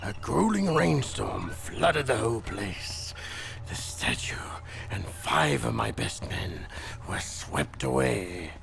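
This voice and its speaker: An actor playing an oily, scheming crime boss in a game cutscene, drawling and savoring every word with unctuous, gloating airs. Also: male voice, on the low side, sing-song, slow, creaky, a bit bright, full character voice. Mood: theatrical, wistful. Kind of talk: video game cutscene acting.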